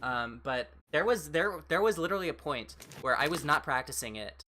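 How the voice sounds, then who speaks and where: A woman with an American accent and a fairly deep voice, chatting casually as a livestream host and replying to viewers in a rambling, reassuring way. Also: lively intonation, brisk, sharp tone, slight background noise. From United States.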